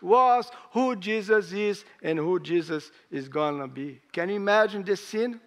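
A hoarse man preaching a sermon in English, speaking in halting phrases with rising emphasis. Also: high-pitched, lively intonation, fairly bright tone. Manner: slow, halting delivery with pauses and rising emphasis; non-native English with grammar slips.

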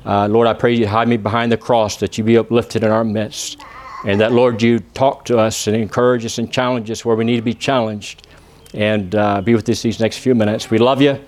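A man from Texas with a Texan accent, preaching a church sermon and praying, often pausing with "uh" and asking the congregation for an "amen". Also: on the low side, level tone, a little mellow. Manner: peppers phrases with "amen?" asking the congregation to answer; halting, with many "uh" pauses and restarts. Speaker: visiting preacher.

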